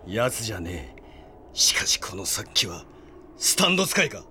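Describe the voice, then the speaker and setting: A man's voice: on the low side, sing-song, moderate pace, pushed and bright, a little hiss or hum, exaggerated voice. An actor playing a proud, hot-headed young man bent on revenge in an audio drama, brash and defiant. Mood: wary. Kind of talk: Japanese anime-style audio drama acting.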